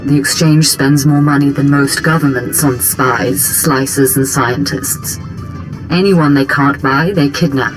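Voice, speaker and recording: A woman playing a smooth, ambitious imperial diplomatic operative in a video game, speaking in formal, polished, deferential phrases. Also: extremely low pitch, unhurried, over music, poor audio. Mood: stern, vengeful. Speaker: imperial special operations chief. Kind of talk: dramatic video game dialogue.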